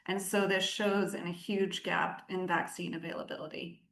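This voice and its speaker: A woman giving measured, articulate explanations as an aid director interviewed on the radio. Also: lowish voice, little pitch movement, vocal fry, fairly bright tone. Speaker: aid organisation country director, interview guest. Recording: roomy, echoing sound.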